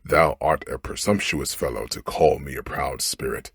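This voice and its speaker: A man's voice: very deep voice, moderate pace, a bit bright, slightly rough, no room sound, clean studio sound, pitched below the performer's own voice, heavily acted. A man voicing a gigantic, fierce and proud storybook genie with imperious, archaic speech. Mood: menacing. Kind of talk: storybook character read aloud.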